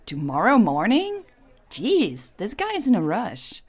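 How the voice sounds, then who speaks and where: A woman's voice: mid-range voice, huge pitch swings, measured pace, breathy edge, pitched above the performer's own voice, exaggerated voice. A woman voicing a busy, blunt female colleague with an informal, exclamatory manner in an audio story. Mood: surprised, exasperated.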